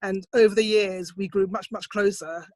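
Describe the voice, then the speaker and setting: A woman's voice: mid-range voice, steady tone, dry recording. Thoughtful middle-aged woman on a dry call mic. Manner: thoughtful, measured, with soft hesitations. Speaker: poet, competition winner. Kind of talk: online interview, reflective answers. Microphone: computer mic on a video call, set quiet.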